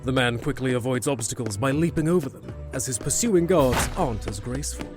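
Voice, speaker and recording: A man's voice: mid-range voice, a little fast, over music. A man reading scripted narration for a fantasy audio drama.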